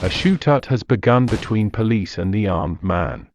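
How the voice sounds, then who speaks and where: A man reading a scripted emergency alert in a flat, formal announcer manner. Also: lowish voice, moderate pace, noisy background.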